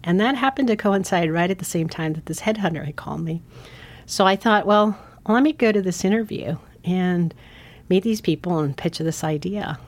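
A woman from San Francisco, a foundation CEO, giving measured, thoughtful answers in an interview. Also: lowish voice. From San Francisco, United States.

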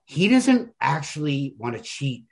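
A man with a rough, fry-laden voice talks through literature as a podcast co-host. His delivery is animated in pitch and earnest, casual and slangy as he presses each point. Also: measured pace. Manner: earnest, emphatic, informal slangy talk.

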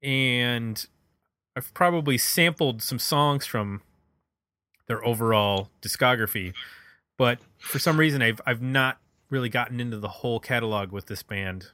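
A man's voice: mid-range voice, unhurried, bright, forceful tone, no echo. A middle-aged man chatting casually as the host of a music podcast.

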